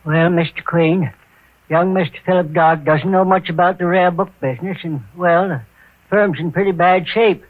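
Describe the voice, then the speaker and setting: An actor playing an anxious, deferential elderly bookkeeper with hesitant, stammering speech in a 1940s radio drama. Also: male voice, high voice, steady tone, normal speed, dry recording, natural voice. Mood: timid, explanatory. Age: older. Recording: tinny, muffled old radio recording.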